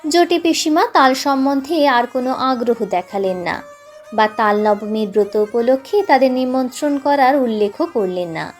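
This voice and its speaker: A woman's voice: high voice, moderate pace. A woman reading a scripted literary story as a dramatic narrator. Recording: music playing behind the voice.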